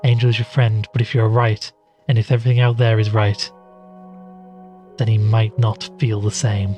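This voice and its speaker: A man's voice: fairly low pitch, little pitch movement, normal speed, warm tone, lo-fi sound, lightly acted. Male actor as worried investigator-podcaster, confiding audio drama delivery, music underneath. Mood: concerned. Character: anxious male podcaster investigating an occult mystery. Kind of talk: audio drama narration and dialogue. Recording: music behind the voice.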